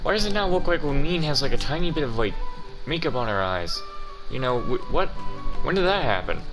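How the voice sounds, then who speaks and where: A woman with an extremely low voice gives casual playthrough commentary. She talks conversationally as a gaming host, with game music clearly audible behind her. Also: big pitch swings, fairly quick. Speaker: gaming video host playing through a game.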